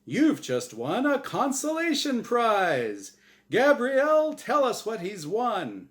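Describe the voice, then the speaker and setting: A man acts out a brash angel game-show host from a comic story. He booms with glib announcer patter, pitched up high and swooping with showmanship. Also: huge pitch swings, slow pace, a bit bright, full character voice. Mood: breezy, salesy.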